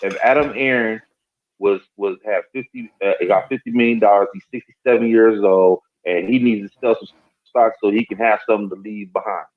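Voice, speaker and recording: Man, 40s, lower voice, insistent debater; thin phone-call audio. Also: fairly even intonation, brisk. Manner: insistent, repeats his question. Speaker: call participant. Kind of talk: heated debate on a group call.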